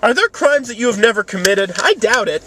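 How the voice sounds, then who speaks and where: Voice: medium pitch, lively intonation, brisk, noisy background. A performer reading song lyrics aloud as a dramatic piece.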